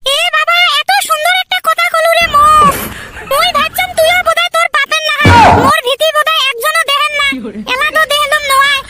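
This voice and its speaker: Man putting on a squeaky, extremely high cartoon mother. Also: fairly even intonation, fairly quick, over loud music. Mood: touched. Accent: northern Bengal (Cooch Behar region). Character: scolding, soft-hearted village mother of grown son. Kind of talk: comedy cartoon voice acting in rural dialect.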